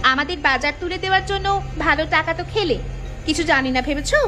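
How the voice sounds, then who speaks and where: A woman voicing a sassy, sharp-tongued young village vegetable seller in a cartoon, taunting and combative. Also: high voice, fast, over music, lightly acted. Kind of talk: cartoon character acting.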